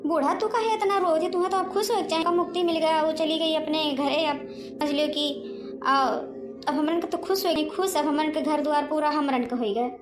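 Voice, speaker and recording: A woman's voice: high-pitched, moderate pace, echoey room, over music, lightly acted. A woman with an Awadhi accent voicing chatty, indignant village women in an amateur cartoon, speaking emphatically. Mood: relieved, sympathetic. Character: chatty indignant village sister-in-law, sympathetic gossip. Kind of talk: amateur Hindi cartoon dialogue.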